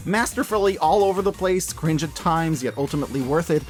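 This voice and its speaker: Male reviewer, very lively intonation, punchy casual enthusiasm, scripted commentary over background music. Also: on the high side, fairly quick. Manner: enthusiastic, emphatic, casual and profane. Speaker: host of a media review channel.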